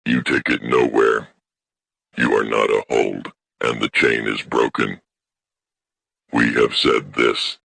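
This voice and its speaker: A man playing an old, weary alien elder who speaks in solemn, stern, formal declarations with a gravelly creak, in a science fiction audio story. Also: rumbling low, little pitch movement, slow pace, pauses often, sharp tone, no room sound, heavily acted.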